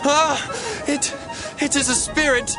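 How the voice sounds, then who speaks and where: An awestruck, fearful disciple in a biblical audio drama. He cries out slowly at an extremely high pitch, music clearly behind him. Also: steady tone, crowd noise, exaggerated voice. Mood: terrified. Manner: crying out, fearful. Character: frightened, awestruck male disciple crying out.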